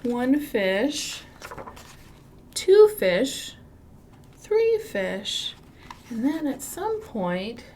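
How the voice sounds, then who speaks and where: A woman with a Midwestern accent leads a children's storytime, her voice a touch high and her pace slow and deliberate. She chants rhymes in a sing-song lilt, with big excited swoops on the key words. A faint hiss sits under the recording. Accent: Midwestern American. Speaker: children's librarian. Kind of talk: virtual storytime for young children.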